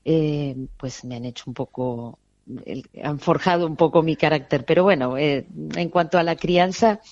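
A woman from Argentina with a mixed Argentine and southern Spanish accent, answering as a pediatrician guest in a casual interview, halting and rephrasing as she goes. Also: deep voice, a little mellow, no echo. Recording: slightly dull, lo-fi audio.

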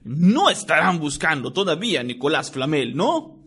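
A man reading a story, voicing a large, evasive gamekeeper who keeps hushing the children. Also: on the high side, sing-song, normal speed, slightly rough, heavily acted. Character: big shifty gamekeeper, secretive and nervous.

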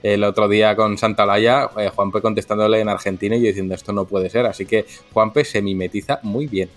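A man on a gaming podcast riffs with dry sarcasm. His voice sits somewhat low. Light music runs behind him. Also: steady tone, a little fast. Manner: sarcastic, jokey. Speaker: podcast co-host. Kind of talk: casual chat.

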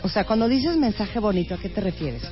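A woman's voice: mid-range voice, lively intonation, a little fast, dull audio, soft background music. A Mexican woman hosting a radio interview, teasing and exclamatory in a playful chat. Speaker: radio host.

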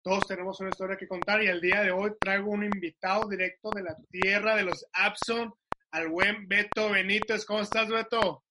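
A relaxed male host greets his guest in easygoing slang. His voice is notably high for a man. Heavy hiss sits under the video-call sound. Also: fairly quick. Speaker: podcast host. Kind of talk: casual video-call interview.

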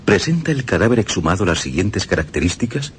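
Deep formal man reading aloud. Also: big pitch swings, fairly quick, a little hiss or hum. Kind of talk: scripted radio reading.

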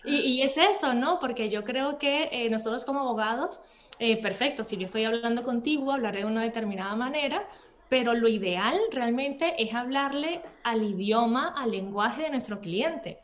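A woman with a Venezuelan Spanish accent chats as an interview guest, informal and expressive, often checking for agreement. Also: a little high, moderate pace. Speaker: podcast guest, intellectual property lawyer. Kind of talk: casual interview chat.

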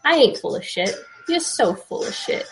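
A woman's voice: medium pitch, very animated, normal speed, creaky, soft background music, poor audio. A woman voicing a desperate, combative man in debt with a New York accent, in a dramatic story reading. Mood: angry, ranting. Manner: combative, agitated, slangy. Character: desperate, defiant New York man, foul-mouthed debtor.

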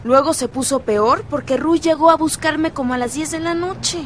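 Actress doing dramatic mexican teen girl, real high voice, complainy and defiant, radio soap, noisy background. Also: normal speed, close to an everyday voice. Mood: indignant. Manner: complaining, emphatic. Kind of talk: radio soap opera acting.

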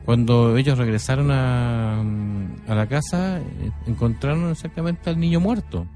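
Halting Chilean male storyteller over soft music. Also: medium pitch, lively intonation. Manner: halting, deliberate, repeats phrases. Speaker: radio co-host and storyteller. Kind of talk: unscripted storytelling on radio.

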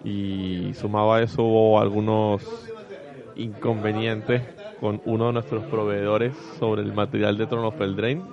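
A man's voice: fairly low pitch, little pitch movement, unhurried, gravelly, crowd noise. A man chatting casually as a panelist on a podcast, in a slightly dull recording. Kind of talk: casual chat.

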